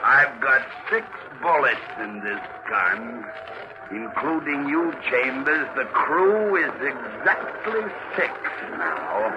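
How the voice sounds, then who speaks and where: Mad sea captain, wildly swinging voice. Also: male voice, mid-range voice, sing-song, slow. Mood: menacing, obsessed. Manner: theatrical, intense, ominous. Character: mad, obsessed male sea captain, menacing and murderous. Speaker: actor in a radio mystery drama. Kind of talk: 1940s radio melodrama acting. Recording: dull, noisy 1940s radio recording.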